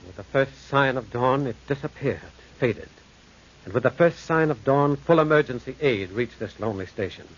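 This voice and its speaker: A man's voice: mid-range voice, expressive, normal speed, lightly acted. Dramatic, wide-ranging male actor as horror narrator. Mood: grave suspense. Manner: intense, theatrical, suspenseful. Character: earnest railway man recounting a supernatural horror. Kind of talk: 1940s-style dramatic radio narration. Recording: dull, faintly noisy old radio recording.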